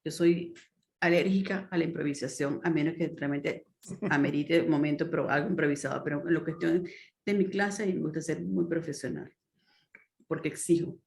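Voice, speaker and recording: A woman's voice: deep voice, a little fast, creaky, a little mellow. A Venezuelan woman who teaches Spanish and hosts a podcast. She speaks with a Venezuelan accent in an earnest, self-assured way, restarting phrases as she talks on a video call. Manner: earnest, self-assured, with repeated false starts. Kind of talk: casual conversation.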